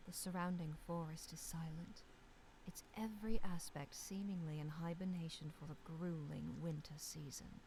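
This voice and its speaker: Mellow, low-voiced mysterious fantasy narrator. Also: female voice, fairly even intonation, normal speed, slight background noise, poor audio. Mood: solemn. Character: mysterious solemn female narrator of a dark fantasy winter tale. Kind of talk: scripted second-person narration for a visual novel.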